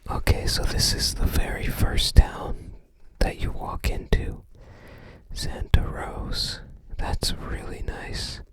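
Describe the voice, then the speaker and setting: An ASMR host speaking entirely in a breathy whisper, gently musing aloud in unscripted commentary with trailing phrases. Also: takes it slowly. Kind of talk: ASMR, unscripted commentary while browsing a game guide book.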